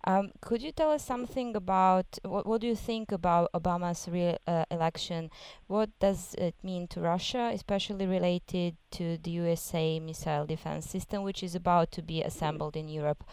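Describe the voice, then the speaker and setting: A woman's voice: fairly low pitch, moderate pace, hardly any pauses, darkish tone, clear, resonant tone, no echo. A woman from Serbia asking questions in an interview, hesitating and searching for words.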